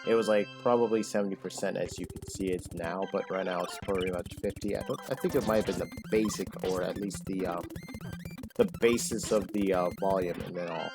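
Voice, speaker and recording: A man's voice: low-pitched, brisk. Rambling man over game music. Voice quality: loud voice. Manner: rambling, filler-heavy, self-correcting. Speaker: gaming channel host. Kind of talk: casual gameplay commentary.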